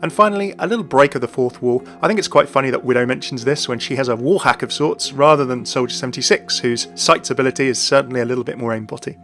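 A man narrates a gaming lore video as its host. He moves along at a quick pace over light background music. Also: medium pitch, a bit bright. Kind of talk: scripted narration of game lore explainer.

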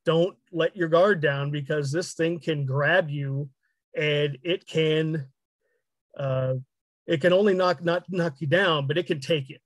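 A man's voice: on the high side, steady tone, measured pace, dry recording. A middle-aged man giving a candid interview account over a video call from a small room. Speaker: radio traffic reporter being interviewed. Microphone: video call with headset.

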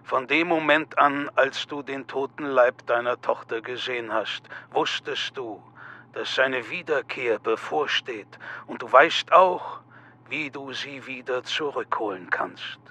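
A menacing male cult leader in a horror audio drama. He speaks slowly and ceremonially in a husky yet bright, cutting voice, taunting with measured solemnity. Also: mid-range voice. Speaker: actor. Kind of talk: German audio drama acting.

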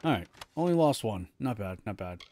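A man's voice: fairly low pitch, sing-song, fairly quick, darkish tone, slight background noise. A man in his thirties streaming a game from home, chatting casually and joking about his own play. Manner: chatty, self-mocking, restarts often. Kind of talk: casual live gameplay commentary.

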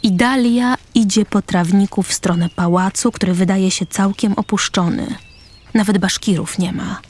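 A woman's voice: mid-range voice, fairly even intonation, normal speed, darkish tone. A woman reading scripted present-tense narration for a radio play. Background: music.

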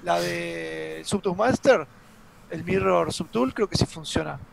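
A man with an Argentine accent co-hosting a live stream, reading viewer questions and explaining software fixes in a gravelly voice. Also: sits high, a little slow, slight background noise. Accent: Argentine Spanish. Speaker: co-host reading chat. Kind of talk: casual live-stream chat and tech answers.

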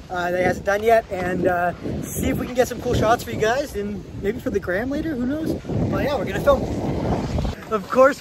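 High-pitched young man, windy recording. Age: young adult. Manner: slangy, joking. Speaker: vlog host, skier. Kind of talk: casual chat and commentary. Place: outdoors on a ski hill. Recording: outdoor phone recording with noisy wind.

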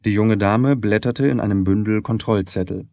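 A man reading literary narration for an audiobook in a measured, articulate manner. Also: fairly low pitch, mellow, no room sound.

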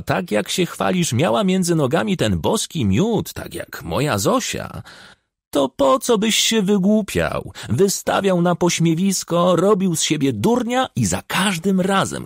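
Audiobook role for a male reader: blunt, taunting rustic man, raised pitch, raspy, swooping emphasis. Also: dry recording, clean studio sound, exaggerated voice. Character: blunt, mocking village man needling his neighbour.

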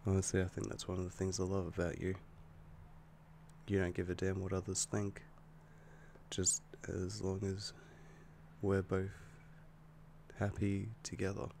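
Werewolf boyfriend played by a man, ASMR roleplay: young, protective, quick-tempered but loving; low voice, unhurried, hesitant. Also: completely flat delivery, vocal fry, a little mellow, a little hiss or hum. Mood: tender, musing. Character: affectionate young male werewolf boyfriend, easily angered, protective. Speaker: roleplay audio performer.

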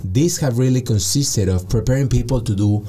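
A man's voice: mid-range voice, normal speed, warm tone, slight background noise. A man in his thirties from Peru, a guest in a radio studio interview, talking earnestly and articulately. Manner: earnest, articulate, frequent restarts. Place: small padded studio. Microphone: studio mic with headphones.

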